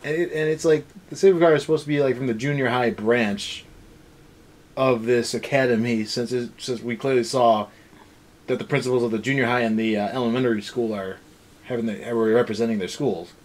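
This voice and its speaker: A man's voice: mid-range voice, fairly even intonation, fairly quick. A man co-hosting a casual anime review rant, talking in a rambling, sarcastic way. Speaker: co-host of an anime review show. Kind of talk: casual ranting review chat.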